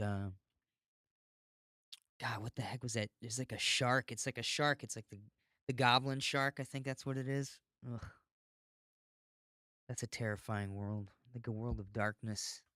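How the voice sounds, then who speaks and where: A man's voice: lowish voice, gravelly, a bit bright, dry recording, clean studio sound. A man hosting a podcast in a casual, rambling monologue, informal and given to ranting and swearing. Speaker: podcast host.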